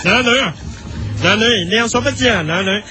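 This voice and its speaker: A man's voice: high-pitched, a little fast, lightly acted. A man playing a silly, giggly food-show host in a radio comedy sketch, constantly repeating a pet catchphrase. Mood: cheerfully pompous. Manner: giggly, repetitive, catchphrase-laden patter.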